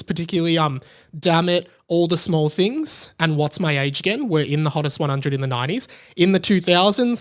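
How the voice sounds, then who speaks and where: Aussie guy in his 30s doing a rambly solo podcast, voice a bit on the high side. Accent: Australian. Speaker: podcast host. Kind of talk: casual solo podcast commentary.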